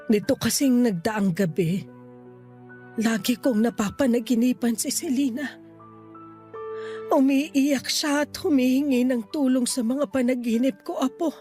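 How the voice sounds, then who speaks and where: A woman playing a frail, ailing grandmother in a radio drama, speaking gently and wearily with sorrow. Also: a little high, unhurried, pauses often, close to an everyday voice. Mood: gentle, pleading. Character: frail, ailing grandmother near seventy, tender and resigned. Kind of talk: Tagalog radio drama acting. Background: background music.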